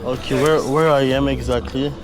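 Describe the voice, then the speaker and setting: A man vlogging on location, chatting in a lively way and switching between English and Tagalog. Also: mid-range voice, unhurried. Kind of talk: on-location vlog chat. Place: busy city streets outdoors. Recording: noisy street, loud crowd and music. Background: loud crowd and music.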